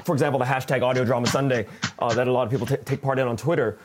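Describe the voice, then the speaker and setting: A man speaking as a podcast creator in an informal roundtable, halting and often restarting his sentences. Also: medium pitch, fairly even intonation. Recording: noisy voice track with clicks.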